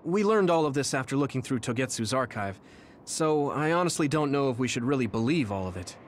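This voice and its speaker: A man's voice: mid-range voice, expressive, fairly quick, a little hiss or hum, close to an everyday voice. A man voicing an earnest, resolute young squad leader in a video game, speaking seriously. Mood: questioning. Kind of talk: video game cutscene voice acting.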